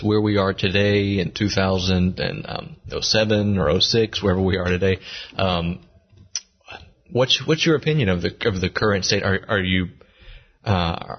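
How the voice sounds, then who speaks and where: Man interviewing, low and husky. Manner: rambling questions with fillers and restarts. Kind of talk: casual interview. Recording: slightly dull lo-fi recording.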